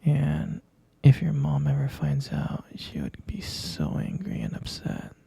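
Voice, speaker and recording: A man playing a hesitant, concerned middle-aged stepfather in a scripted ASMR roleplay, speaking in a breathy, gravelly, halting hush. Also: mid-range voice, huge pitch swings, dry recording, full character voice. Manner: halting, hushed, drawn-out delivery.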